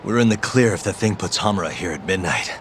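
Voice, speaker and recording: A man's voice: deep voice, lively intonation, fast, fairly bright tone, a little hiss or hum. An actor playing a laid-back, hopeful male investigator in a video game cutscene, talking in a casual, understated way. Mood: cautiously hopeful.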